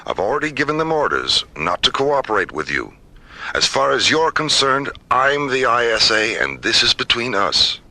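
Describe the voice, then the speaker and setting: An actor playing a principled science director, speaking firmly and articulately in a science fiction radio drama. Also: male voice, medium pitch, sing-song, sharp tone, exaggerated voice. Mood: cool, defiant. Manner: measured, firm, articulate. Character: principled, firm male science director opposing weapons.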